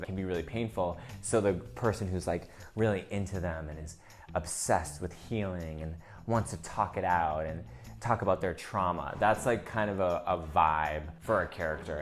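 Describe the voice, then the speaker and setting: A man giving a casual, conversational lesson as a comedy instructor, often dropping "like" into his sentences. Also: low voice. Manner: conversational, peppered with "like". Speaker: comedian teaching character reels. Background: faint music.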